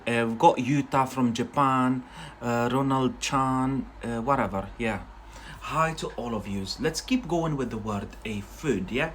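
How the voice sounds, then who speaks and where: Male host, Algerian accent with a Scottish touch, non-native English. Chatty and loose, full of fillers. Desk mic with faint noise. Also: mid-range voice. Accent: Algerian, with a Scottish influence. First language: not English. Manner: chatty, rambling, with many fillers and tag questions. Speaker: English teacher and livestream host. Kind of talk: casual livestream lesson.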